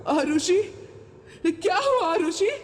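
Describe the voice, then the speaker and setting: A woman's voice: very high-pitched, expressive, takes it slowly, voice pushed up, exaggerated voice. A woman voicing an alarmed, anguished father in a horror cartoon, with an urgent delivery. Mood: alarmed grieving. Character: alarmed, distraught father fearing for his daughter. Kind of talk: horror cartoon story dialogue. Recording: long reverb, music behind.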